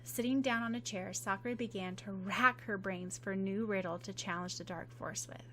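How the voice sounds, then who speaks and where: A woman narrating a fan-fiction audiobook in a measured, expressive reading voice. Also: mid-range voice, slight background noise, low-quality recording.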